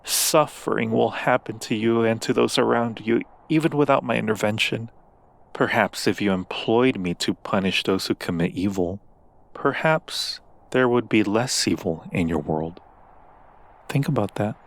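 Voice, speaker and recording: A man's voice: on the low side, gravelly. A man playing a seductive, coaxing demon in an ASMR roleplay, speaking with silky, formal persuasion to a mortal. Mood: persuasive. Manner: slow, silky persuasion with formal, deliberate wording.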